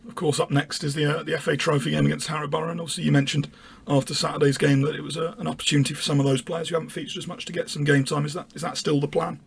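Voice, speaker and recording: A man's voice: a little high, level tone, racing, creaky. A man working as an interviewer, putting prepared questions to a guest.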